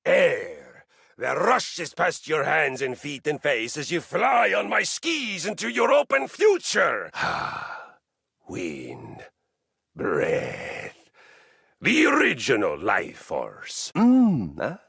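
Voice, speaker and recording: An actor voicing a grandiose, zealous treadmill personified in a video game, telling sagas in a pompous, theatrical way with deadpan asides. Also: male voice, a little high, very slow, gravelly, slightly rough, no room sound, studio quality, full character voice. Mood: reverent, grandiose. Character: zealous, grandiose treadmill deity, boastful lover of violence. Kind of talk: dramatic video game character monologue.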